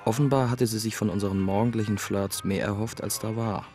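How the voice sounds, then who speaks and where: A man's voice: lowish voice, fairly even intonation, a little fast, darkish tone, soft background music, lightly acted. An actor playing a troubled, self-mocking man recounting his story in a German radio drama. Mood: brooding, wry. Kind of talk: German radio drama acting, inner monologue.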